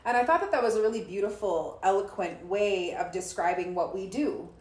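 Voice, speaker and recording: A woman's voice: medium pitch, brisk, a little mellow, roomy sound. A woman hosting a conversation, telling a reflective story and thanking her guests. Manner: storytelling, reflective, laughs often. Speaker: host. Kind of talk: warm conversational podcast chat.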